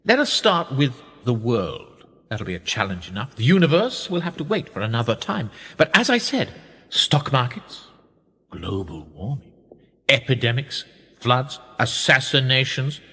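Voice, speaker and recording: An actor playing an urbane, condescending older aristocratic power broker in a radio play. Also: male voice, mid-range voice, sing-song, unhurried, sharp tone, slightly rough, heavily acted. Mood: measured, wry. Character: smooth, patronising older British aristocratic power broker, knighted. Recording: long reverberant hall echo.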